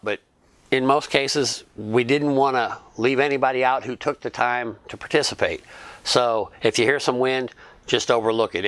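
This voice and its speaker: Easygoing man recounting a concert trip. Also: mid-range voice. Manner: relaxed, chatty, with small restarts. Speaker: YouTube vlogger and fan interviewer. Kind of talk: casual recounting narration.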